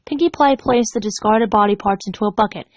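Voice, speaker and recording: A woman's voice: mid-range voice, fairly quick, darkish tone, no room sound. A woman reading a story aloud as scripted narration, as a fan fiction reader.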